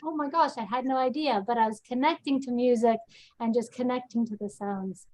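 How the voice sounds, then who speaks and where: A woman rabbi talks in a lively, chatty way over a video call. Her voice sits a little high. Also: a little fast, rough recording. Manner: lively, chatty, sings snatches of song. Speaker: synagogue rabbi. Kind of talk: webinar personal remarks.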